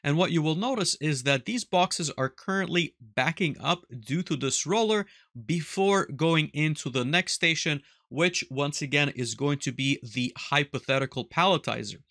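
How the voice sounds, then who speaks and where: A man in his thirties explaining a technical demonstration step by step in a measured, instructional way. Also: on the high side, sharp tone, dry recording, clean studio sound. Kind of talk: tutorial narration with screen demonstration. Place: small indoor workshop room with wall of electronics.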